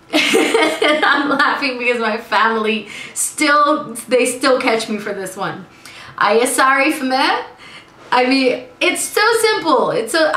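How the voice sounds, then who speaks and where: Casting: lively young woman vlogger from Suriname, bright, slightly high voice, casual and chatty, echoey room. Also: distant mic. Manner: lively, chatty, laughs often. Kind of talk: casual chat to camera.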